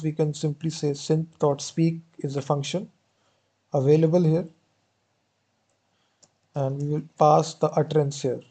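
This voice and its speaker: A man's voice: a little high, dark tone. A man walking through code step by step in a programming tutorial, often saying "actually" and "basically". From India. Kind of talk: screen-recorded coding walkthrough.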